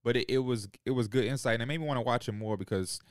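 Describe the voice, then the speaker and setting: A man's voice: mid-range voice, fast talker, dry recording, clean studio sound. Clean-sounding, slangy man in his twenties. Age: 20s. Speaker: podcast co-host. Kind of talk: casual chat.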